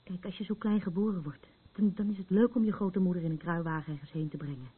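Husky troubled woman on a tinny line. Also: lowish voice, fairly even intonation. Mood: wistful. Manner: halting, repetitive, rambling. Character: troubled, battered woman, anxious, defending her abuser, insisting she is sober. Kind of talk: radio play acting. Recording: muffled, phone-like narrow band.

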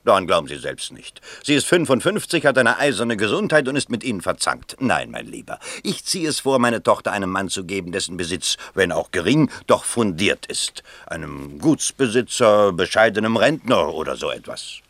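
A man's voice: on the low side, sing-song, normal speed, few pauses, a bit bright, dry recording, full character voice. An actor playing a shrewd, blustering middle-aged bourgeois politician and father in a 1960s radio play, speaking in an emphatic, theatrical manner. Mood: dismissive, haggling.

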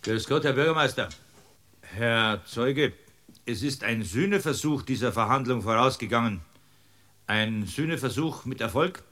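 An actor playing an irascible, impatient village-court judge with a Bavarian accent in a radio drama, blustering and sarcastic. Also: male voice, medium pitch, expressive, unhurried, a bit bright. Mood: dry, official. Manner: blustering, sarcastic, barking orders. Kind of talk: German radio drama acting.

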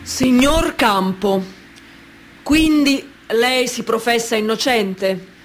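An actress playing a stern courtroom questioner, formal and deliberate, in a radio drama. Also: female voice, a little high, a little hiss or hum.

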